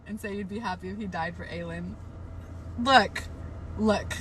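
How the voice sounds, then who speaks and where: A young woman talks loosely and frankly about books on her live stream, swearing freely and filling her speech with "like." Her voice is bright and edgy but worn a little hoarse. A faint noise sits under the recording. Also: mid-range voice, moderate pace. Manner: chatty, frank, swears freely, many "like" fillers. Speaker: book livestreamer. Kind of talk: casual live-stream book chat.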